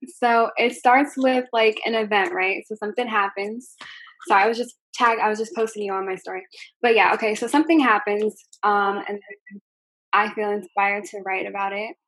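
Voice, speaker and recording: A young woman who sings, answering interview questions in a soft voice, with many ums and likes. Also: medium pitch, steady tone, normal speed, low-quality recording. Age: young adult. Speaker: singer being interviewed.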